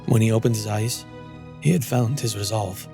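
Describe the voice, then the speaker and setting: Measured male fantasy storyteller over music. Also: fairly low pitch, vocal fry. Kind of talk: scripted dramatic fantasy narration.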